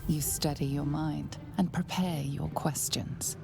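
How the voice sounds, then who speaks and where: A knowing female storyteller narrates a video game in measured, scripted lines. Her voice is low with a creaky edge, with music behind it. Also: fairly even intonation, exaggerated voice.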